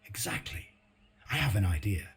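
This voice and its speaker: Resistance commander, low voice, calm, measured, matter-of-fact, video game cutscene, faint background noise. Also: male voice. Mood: calm, resolute. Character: calm male resistance commander planning military attacks.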